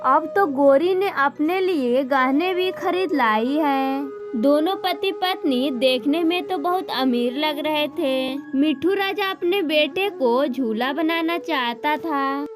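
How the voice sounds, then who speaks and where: A woman's voice: high-pitched, slow. A woman reading a scripted children's story as narrator, with music playing behind her.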